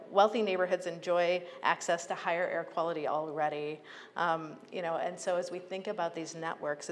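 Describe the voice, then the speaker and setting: An American woman giving an earnest, persuasive prepared speech as a public agency leader, with emphatic delivery. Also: fairly low pitch. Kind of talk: prepared advocacy speech to a conference. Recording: echoey hall, clear background noise.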